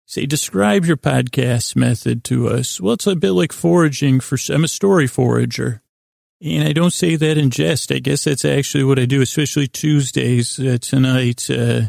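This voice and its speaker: Guy w really gravelly creaky voice, dry close sound, rambling sleepy-time podcast host, keeps losing his thread. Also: mid-range voice, a little mellow, no room sound. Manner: meandering, halting, digressive, self-deprecating; acts out imagined back-and-forth dialogue in his own voice. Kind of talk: rambling improvised bedtime podcast monologue.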